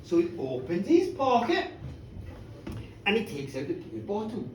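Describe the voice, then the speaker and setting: A woman's voice: mid-range voice, huge pitch swings, unhurried, a little mellow. Woman doing live storytelling, really swoopy theatrical voice, picked up from a distance in a bar. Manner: theatrical, colloquial, repeats phrases for effect. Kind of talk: live dramatic folk-tale storytelling. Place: indoor bar, live performance. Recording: echoey bar, distant mic, background noise.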